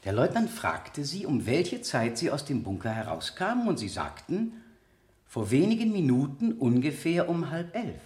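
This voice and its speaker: Male examiner, radio drama. Methodical, insistent, courteous, with animated pitch in a roomy acoustic. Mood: insistent, pressing. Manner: deliberate, insistent, formally polite questioning. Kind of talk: 1970 German radio play acting.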